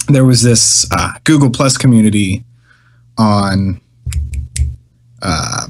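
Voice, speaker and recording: A man's voice: fairly low pitch, lively intonation, slow. A young man, founder of a small record label, telling his story in a casual interview, meandering and hesitant with many fillers. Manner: meandering, hesitant, laughs easily.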